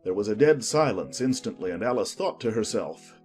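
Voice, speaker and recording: A male audiobook narrator reads a story in a medium-pitched voice. His intonation moves freely and expressively. Faint music plays behind him. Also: animated, fairly quick, a little mellow.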